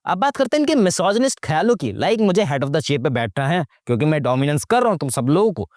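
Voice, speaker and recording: A young man delivers mocking, slangy movie commentary. His voice sits a little high and swings widely in pitch as he fires off rhetorical questions. The sound is dry, with very little room around it. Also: big pitch swings, quick pace, a little mellow, no echo. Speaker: YouTube movie reviewer. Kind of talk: casual, sarcastic movie commentary.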